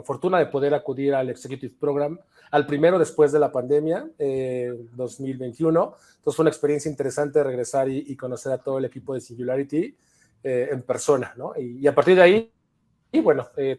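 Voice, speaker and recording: A man's voice: mid-range voice, dark tone. A man from Mexico City with a Mexican Spanish accent, talking as a podcast guest in a thoughtful way with frequent fillers. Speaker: podcast guest, chapter leader. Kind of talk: casual conversation.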